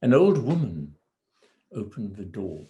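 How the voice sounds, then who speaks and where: A man telling a fairy tale in a deliberate manner, pausing between phrases. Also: fairly low pitch, very animated, mellow, highs cut off. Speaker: amateur storyteller. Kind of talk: measured fairy tale storytelling.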